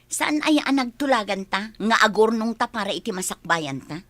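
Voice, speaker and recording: A woman's voice: medium pitch, lively intonation, moderate pace, fairly bright tone, no room sound, natural voice. An actress with a Filipino accent playing a thrifty, frustrated young working woman who complains emphatically in a radio drama. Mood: nagging. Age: young adult.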